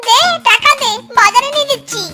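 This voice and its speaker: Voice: very high-pitched, a little fast. A performer voicing a whining, put-upon fox thief husband in a comic cartoon, grumbling with exaggerated exclamations. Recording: children's cartoon music behind the voice.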